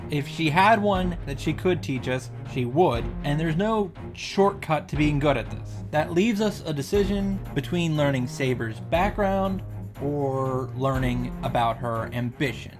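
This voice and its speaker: A man's voice: a little high, expressive, a little slow. A man giving blunt, mocking, sweary reaction commentary on a visual novel. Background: game music.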